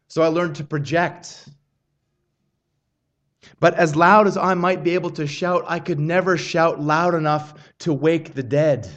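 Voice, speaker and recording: High-voiced man preaching a sermon. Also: fairly even intonation, a bit bright. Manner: earnest, measured preaching with frequent restarts. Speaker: pastor.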